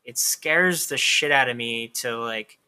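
Author guest in his thirties; sharp, bright voice; open and talkative. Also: medium pitch, unhurried, smooth. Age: 30s. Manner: candid, talkative, lots of "like" fillers. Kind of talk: casual interview chat.

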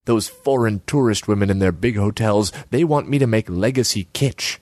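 A man's voice: on the low side, moderate pace, a little mellow, no room sound, studio quality, voice pushed up, lightly acted. A man putting on a haughty young woman artist. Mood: haughty lecturing. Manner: scornful, lecturing, self-important.

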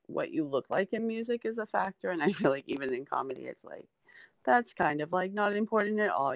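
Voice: medium pitch, creaky, no echo. A comedian in their forties, guesting on a podcast in casual, rambling chat, full of fillers. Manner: rambling, filler-heavy, chatty.

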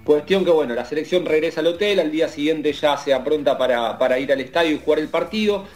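A man's voice: a little high, fast, darkish tone, faint music behind. A man with an Argentine accent recounting a sports story in casual chat, stammering at times. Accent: Argentine Spanish. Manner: narrating events, stammers at times.

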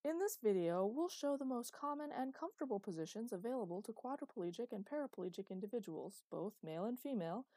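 A woman's voice: a little high, lively intonation, fast, few pauses, dark tone, no room sound, pitched above the performer's own voice. A woman voicing a man narrating an old instructional video in a formal announcer manner. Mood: announcing.

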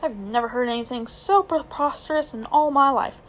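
A woman's voice: high-pitched, moderate pace, fairly bright tone, low-quality recording. A woman voicing a pompous, scheming elderly male magician with a haughty, lecturing manner in a storybook reading. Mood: indignant. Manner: haughty, lecturing, self-important.

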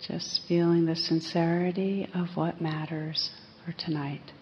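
Meditation teacher lady, gentle and really slow, flat calm delivery, bit muffled w faint hiss. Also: lowish voice. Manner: gentle, unhurried, pausing between phrases. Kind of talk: guided meditation. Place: live evening group session. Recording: slightly muffled live recording, faint hiss.

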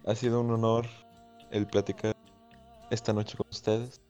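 Measured young man, lo-fi audio. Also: on the low side, level tone, warm tone, soft background music. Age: 20s. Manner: measured, somewhat formal. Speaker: podcast guest. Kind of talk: casual chat among friends. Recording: dull lo-fi audio.

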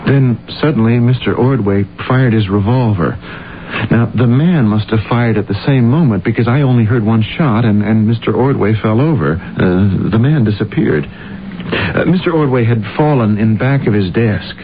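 A man acting as a meek middle-aged secretary in a radio play. He is nervous and stammering, with a lowish voice on a tinny, hissy old recording. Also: moderate pace, natural voice. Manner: hesitant, stammering.

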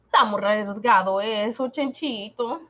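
A man's voice: high voice, unhurried, pushed and bright, highs cut off, pitched above the performer's own voice. A man voicing a fretful, doubting wife who whines and scolds fondly in a comic radio drama. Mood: worried. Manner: whining, scolding, affectionate diminutives.

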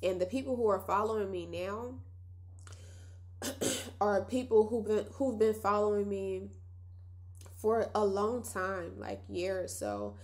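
A woman's voice: mid-range voice, lively intonation, takes it slowly, long pauses. A young woman with a nasal voice hosting a casual solo podcast, chatty and reflective, talking straight to her listeners. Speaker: podcast host.

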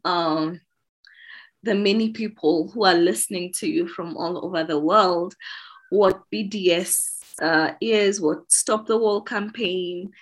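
Woman host doing a heartfelt interview, lowish voice, takes her time, gentle and caring.

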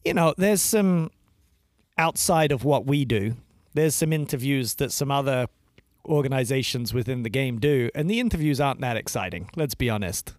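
A man's voice: medium pitch, moderate pace, dry recording, studio quality. Blunt, swearing British-born man. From Britain. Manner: blunt, swears freely, animated opinions. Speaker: podcast co-host. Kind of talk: casual chat.

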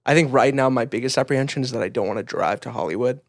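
A man's voice: mid-range voice, very fast, no room sound, clean studio sound. A man co-hosting a podcast, chatting casually and candidly with a wry, self-deprecating manner. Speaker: podcast co-host.

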